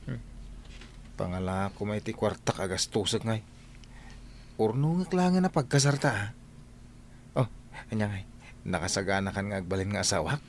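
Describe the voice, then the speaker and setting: A man's voice: fairly low pitch, animated, moderate pace, pauses often, a little hiss or hum. An actor playing a boastful, flirtatious driver courting a woman in a radio drama, with an Ilocano accent. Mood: flirting. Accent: Ilocano, northern Philippines.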